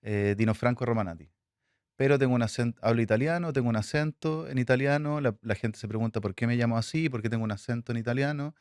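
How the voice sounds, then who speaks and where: A man, a filmmaker, answering interview questions in a halting way, with many fillers and restarts. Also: mid-range voice, darkish tone, clean tone, no room sound, studio quality. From Chile. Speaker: filmmaker guest.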